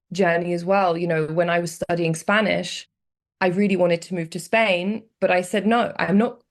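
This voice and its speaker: A young woman with a British accent, reflective and animated as she tells stories in a podcast conversation. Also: medium pitch. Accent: British English. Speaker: guest.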